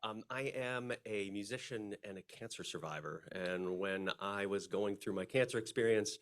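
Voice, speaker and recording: A man giving an earnest, calm self-introduction to a group as a musician and new member. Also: fairly low pitch, normal speed.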